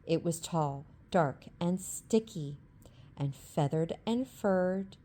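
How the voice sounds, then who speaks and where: A woman reads a rhyming bedtime story in a calm, lulling rhythm. Her voice is low-pitched and mellow, with an unhurried pace. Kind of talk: bedtime story read-aloud, ASMR.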